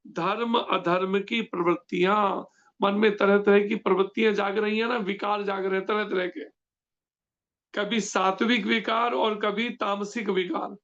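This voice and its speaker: A man giving a devotional scripture lecture who explains slowly and keeps quizzing his listeners with questions. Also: high-pitched, a bit bright, muffled recording. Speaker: scripture class teacher.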